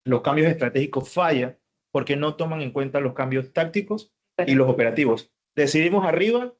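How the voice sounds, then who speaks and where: A man's voice: fairly high pitch, brisk, muffled recording. A man in the audience adding an earnest, explanatory comment during a seminar. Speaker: audience member.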